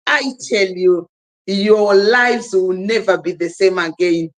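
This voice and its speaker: A woman's voice: medium pitch, fairly even intonation, slow pace. A woman from Zimbabwe hosting a live stream panel, speaking emphatically and urging the listeners on. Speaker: livestream host. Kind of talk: casual live stream talk.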